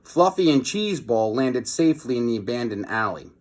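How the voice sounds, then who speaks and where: A man's voice: medium pitch, very animated, a little fast. Man reading a children's storybook aloud. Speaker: read-aloud host.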